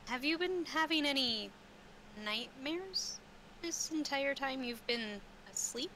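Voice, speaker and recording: Noisy recording, woman game master, bright voice, kinda slow and halting. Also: high-pitched, expressive. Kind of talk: casual tabletop roleplay narration. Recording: clearly noisy background.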